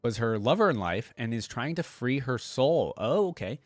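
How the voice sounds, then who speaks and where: A man's voice: mid-range voice, sing-song, normal speed, no room sound. A man hosting a reaction video, reading a wiki aloud and adding casual asides in his own words.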